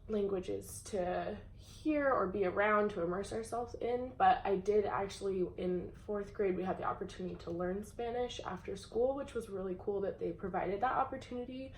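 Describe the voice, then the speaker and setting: A young adult woman speaking casually and hesitantly, pausing often with "um". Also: medium pitch. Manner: hesitant, many "um" pauses, laughs easily. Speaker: interviewer. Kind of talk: casual conversation. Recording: echoey room with faint background hum.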